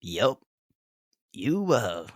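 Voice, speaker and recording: Man playing a low-voiced tough teenage half-orc girl. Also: sing-song, very slow, no room sound, clean studio sound, heavily acted. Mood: defensive. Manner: slangy, blunt, guarded teenage bluntness. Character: tough, gruff sixteen-year-old half-orc girl fighter. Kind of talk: audio drama dialogue.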